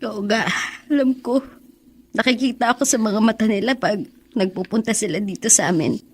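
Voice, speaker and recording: A woman's voice: on the high side, moderate pace, slightly rough. A woman playing a sorrowful, wounded young woman, plaintive and earnest, in a Filipino radio drama. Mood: subdued.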